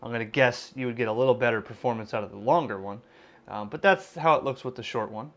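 A man's voice: medium pitch, fast, fairly bright tone. A man presenting a hands-on product demonstration in an informal, conversational, hedging way, recorded indoors at a desk. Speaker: amateur radio video host and product reviewer. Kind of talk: casual unboxing and product demonstration.

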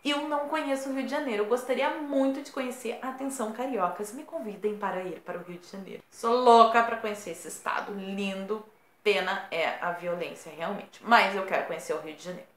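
A woman's voice: medium pitch, a little fast, echoey room. Chatty woman with a southern Brazilian accent. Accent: Rio Grande do Sul Brazilian Portuguese. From born in São Paulo, raised in Rio Grande do Sul. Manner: chatty, playful, expressive. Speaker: YouTuber. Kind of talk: casual vlog to camera. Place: small room indoors. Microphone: camera at close range.

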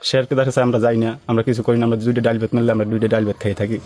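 A man's voice: mid-range voice, little pitch movement, rapid-fire, a little mellow. A man gives an unscripted account of his troubles, pleading and aggrieved. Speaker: complainant being interviewed. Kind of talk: spontaneous personal account.

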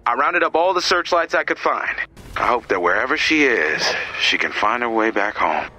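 An actor playing an earnest, dutiful soldier in a video game, speaking sincerely and plainly. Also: male voice, medium pitch, sing-song, moderate pace, pushed and bright, exaggerated voice, low-quality recording. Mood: weary, wistful. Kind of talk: video game mission dialogue.

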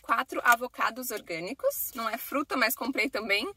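A young woman with a Brazilian accent chats to her phone camera inside a parked car. Her voice is bright and forward, and the sound is dry and close, with no room echo. She talks casually and loosely, padding her sentences with fillers. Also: fairly high pitch, normal speed, husky. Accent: Brazilian Portuguese. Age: young adult. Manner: chatty, talking with her hands, many fillers like "né" and "assim". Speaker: vlogger. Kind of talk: casual vlog chat to camera. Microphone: phone camera at arm's length.

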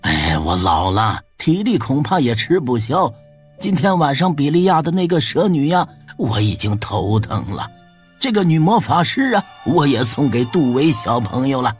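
A man's voice: medium pitch, very animated, normal speed, breathy edge. A man playing a jovial, lecherous old nobleman in an audio drama, sighing theatrically. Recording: music behind the voice.

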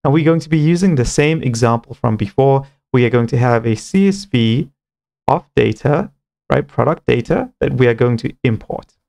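A man's voice: mid-range voice, moderate pace, vocal fry, darkish tone. A man in his thirties giving an informal step-by-step coding tutorial, thinking aloud, on a close headset mic. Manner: step-by-step explaining, often starting with okay and cool. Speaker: programming tutorial presenter.

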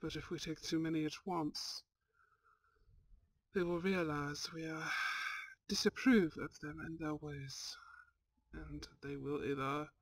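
A woman's voice: sits low, fairly even intonation, slow, pauses often, full character voice. A woman voicing a suave, haughty but kind young male lord with formal, self-assured speech in an ASMR audio roleplay. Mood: regretful.

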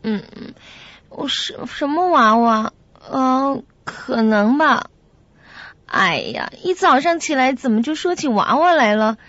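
Radio drama casting: fashionable, carefree young secretary actress, high-pitched, breezy, on a dry, slightly dull recording. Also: female voice, expressive, slow pace, fairly bright tone, dry recording, exaggerated voice. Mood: sleepy, dismissive. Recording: dull lo-fi audio.

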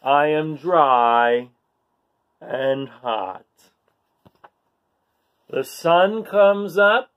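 A man voicing a bored, envious storybook barnacle with a deadpan, drawn-out, complaining delivery. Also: fairly high pitch, dull audio. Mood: bored, gloomy. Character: bored, grumbling, envious barnacle from a picture book. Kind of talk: storybook read-aloud.

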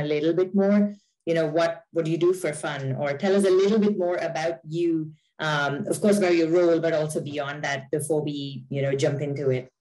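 A woman's voice: low-pitched, darkish tone, rough recording. A woman in her thirties hosting a webinar interview over a video call, asking questions in a friendly way with fillers. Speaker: webinar host, general manager.

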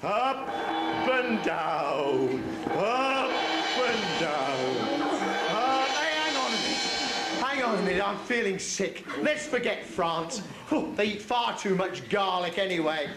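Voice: medium pitch, sing-song, slow, over loud music. An actor playing a bustling, bawdy pantomime mother on stage, exclaiming and gossiping in a noisy, echoey hall. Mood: chatty, comic. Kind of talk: broad amateur stage pantomime comedy. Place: stage in a hall, recorded from audience. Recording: noisy echoey theatre hall camcorder recording.

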